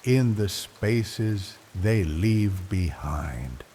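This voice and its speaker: Older guy reading a wistful bedtime story, low mellow voice, super slow w long pauses, soft rain behind. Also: expressive, a little hiss or hum. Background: soft rain ambience.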